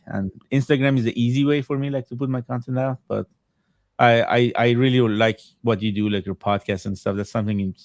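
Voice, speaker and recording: A man from Iran whose native language is Farsi, chatting casually as a podcast guest in halting, repetitive English. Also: on the low side, creaky, no room sound, studio quality. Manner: halting, repetitive phrasing as a second-language speaker. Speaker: podcast guest, video game collector. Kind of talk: casual chat.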